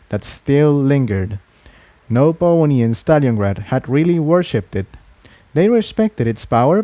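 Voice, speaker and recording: Male audiobook narrator for fantasy fiction, relaxed warm tone, very dry sound. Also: medium pitch, dry recording.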